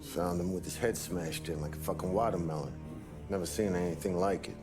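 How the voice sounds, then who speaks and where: A man acts out a mob underling in a crime game, with a lowish voice. He is blunt, clipped and streetwise. Music plays behind the words. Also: fairly quick, close to an everyday voice. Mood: grim. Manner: plain-spoken, clipped. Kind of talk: cinematic game voice acting.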